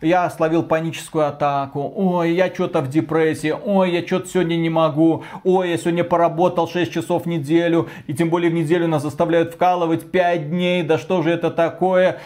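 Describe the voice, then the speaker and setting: Slightly high-voiced man, blunt opinionated talker. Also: flat delivery, a little fast, hardly any pauses, a little mellow. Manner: blunt, colloquial, piling up repetitive phrases. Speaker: co-host of a video game news podcast. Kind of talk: opinionated conversational commentary.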